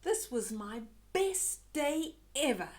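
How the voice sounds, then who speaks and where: A woman's voice: high voice, big pitch swings, slow pace, heavily acted. A woman voicing a young, friendly boy monster, eager and exclamatory, in a children's storybook read-aloud. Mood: delighted.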